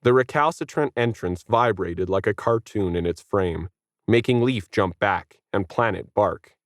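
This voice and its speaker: Male narrator for short fiction, lower-pitched voice, close dry sound. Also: a little slow, no echo. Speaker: story narrator.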